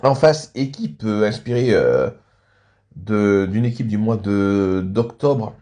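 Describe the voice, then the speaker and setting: Mellow, low-voiced man commentating, slightly congested. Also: expressive, takes it slowly. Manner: frequent throat clearing and coughing. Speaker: commentator and video host. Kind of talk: casual match commentary of a video game.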